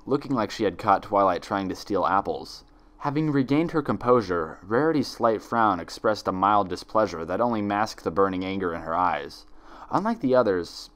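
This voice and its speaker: A man narrating a story like a dramatic audiobook, in a theatrical, measured manner. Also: lowish voice, fairly bright tone.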